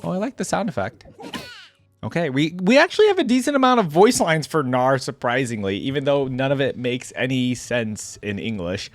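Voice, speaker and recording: A man's voice: medium pitch, very animated, normal speed, soft background music. A man hosting a game reaction video, talking informally and thinking aloud as he reads along. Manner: informal, thinks aloud while reading out text. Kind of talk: casual reaction commentary.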